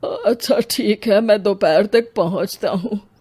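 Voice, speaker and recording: A woman's voice: mid-range voice, unhurried, mellow, breathy edge. A woman voicing a sorrowful, weary elderly village father in a dramatised story, speaking plaintively. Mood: puzzled. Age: older. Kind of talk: dramatised moral story dialogue.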